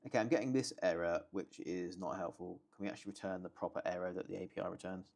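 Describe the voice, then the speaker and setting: A man's voice: fairly even intonation, fast, a little mellow. A man thinking aloud while he codes, muttering half-finished remarks to himself. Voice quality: low, gravelly voice. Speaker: solo coding streamer.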